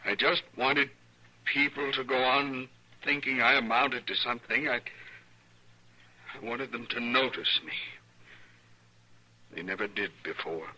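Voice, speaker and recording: A man's voice: mid-range voice, measured pace, long pauses, pushed and bright, poor audio. An actor playing a meek, ashamed American frontier townsman who stammers apologetically in an old radio western. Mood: pleading, ashamed. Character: meek, ashamed townsman, a pitiful lonely liar. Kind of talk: 1950s radio western acting. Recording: old radio drama recording.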